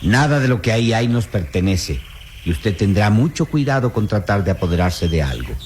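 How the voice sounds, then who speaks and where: Low-voiced, solemn elderly professor. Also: male voice, sing-song, normal speed, a little hiss or hum. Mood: firm, authoritative. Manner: earnest, solemn, formal. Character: elderly idealistic male professor, principled, longing father. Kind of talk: old radio serial acting.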